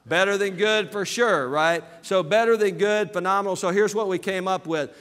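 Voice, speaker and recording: A man from southern Alabama with a Southern American accent giving an energetic motivational business seminar, calling out to his audience for responses. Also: sits high, normal speed. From southern Alabama, USA. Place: large hall with live audience. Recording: reverberant hall.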